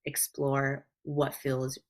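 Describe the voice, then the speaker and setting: A woman's voice: extremely low pitch, monotone, drawn-out words. A woman speaking as a life coach guest in a casual interview, telling personal stories in an earnest, reflective way.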